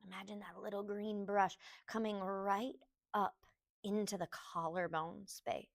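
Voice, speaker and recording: Slow-talking woman guiding a meditation. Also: medium pitch, steady tone, creaky. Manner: gentle, unhurried instruction in short phrases with long pauses. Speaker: yoga teacher. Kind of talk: guided meditation for a yoga class.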